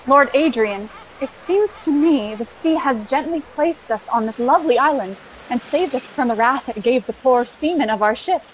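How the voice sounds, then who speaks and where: Woman doing a gentle shipwrecked lady, real high voice, gracious, shakespeare radio play, faint noise behind. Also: animated, normal speed, rough recording. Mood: gentle concern. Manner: formal, gracious. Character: gentle, grateful shipwrecked noblewoman. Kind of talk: Shakespearean audio drama acting.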